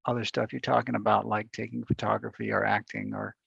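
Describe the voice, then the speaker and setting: A man's voice: lowish voice, level tone, brisk, vocal fry, a bit bright, husky, dry recording, dull audio. A man interviewing a guest over a video call, asking hesitant questions with repeated words. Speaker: interviewer, newspaper reporter.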